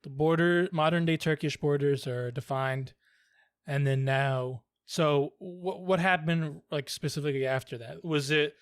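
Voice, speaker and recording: A man's voice: a little high, fairly even intonation, unhurried, smooth, no room sound, clean studio sound. A young man co-hosting a podcast, rambling and searching for words as he asks questions. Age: young adult. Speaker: podcast co-host. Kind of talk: casual chat, asking questions.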